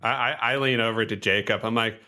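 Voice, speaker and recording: Bright-voiced man joking at a game table. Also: mid-range voice, fairly even intonation, a little fast. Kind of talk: casual, jokey roleplay chat.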